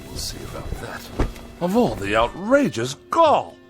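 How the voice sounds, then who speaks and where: An actor playing a haughty, indignant middle-aged misanthrope with a sarcastic, biting manner in a radio drama. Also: male voice, on the high side, wildly swooping pitch, measured pace, a bit bright, soft background music, exaggerated voice. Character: pompous, irascible middle-aged misanthrope writer.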